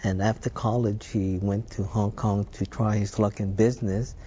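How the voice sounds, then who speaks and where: An older man raised in Hawaii, telling stories about his past in an unhurried, thoughtful way, with some fillers. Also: fairly low pitch, little pitch movement, dark tone, dull audio, slight background noise. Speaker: interview guest. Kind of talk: reminiscing interview.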